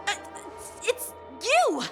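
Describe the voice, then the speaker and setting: A woman's voice: extremely high pitch, sing-song, over music, full character voice. A woman playing an earnest, hot-headed teenage boy swordsman who speaks formally and gets indignant, in a game cutscene. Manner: indignant, quick to anger, formal.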